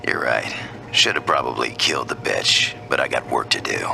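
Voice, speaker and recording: An actor playing a grim, cynical killer in a video game, terse and deadpan, with a gravelly voice. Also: male voice, very deep voice, normal speed, a little hiss or hum, heavily acted. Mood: grim, curt. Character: grim, hard-bitten male killer, curt and cynical. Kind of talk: video game cutscene acting. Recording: music behind the voice.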